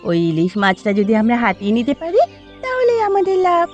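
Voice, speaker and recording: A woman's voice: high-pitched, huge pitch swings, a little fast, highs cut off, over music. A woman voicing a sly, greedy village neighbour wife in a Bengali cartoon. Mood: scheming, eager.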